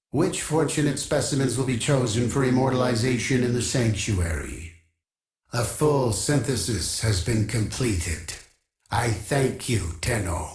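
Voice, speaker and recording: Obsessive digital archive keeper, mid-pitched, pompous, formal, measured, echoing game dialogue. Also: male voice. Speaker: video game quest-giving character. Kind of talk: scripted video game character dialogue.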